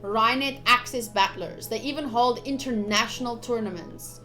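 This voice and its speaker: A young woman streaming a visual novel, reading the text aloud with casual, gushy, expressive commentary. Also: a little high, moderate pace. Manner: expressive, gushy, slips into cute exclamations. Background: soft game music.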